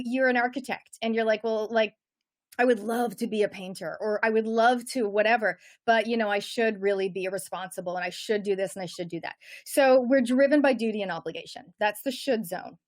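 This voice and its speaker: A middle-aged woman with a Canadian accent, a coach talking energetically and chattily as a guest in an interview. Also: on the high side. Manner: energetic, chatty, many fillers. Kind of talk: casual interview chat.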